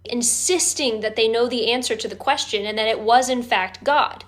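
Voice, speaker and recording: Woman with a fairly high voice, reading out debate points, scripted. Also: normal speed, a bit bright.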